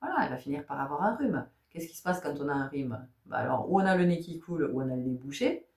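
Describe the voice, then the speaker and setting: A woman's voice: low voice, animated, fast, gravelly, a little mellow, distant mic. A woman giving a spiritual tarot guidance talk, asking rhetorical questions, repeating phrases and often checking in with her listeners. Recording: echoey room.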